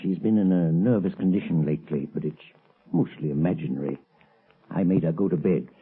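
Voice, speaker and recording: Shifty, husky-voiced professor. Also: male voice, deep voice, brisk. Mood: uneasy. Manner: hesitant, evasive, blustering. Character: shifty, nervous male professor, brusque sinister husband. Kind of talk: 1930s-40s radio mystery acting. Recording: muffled, lo-fi vintage radio recording.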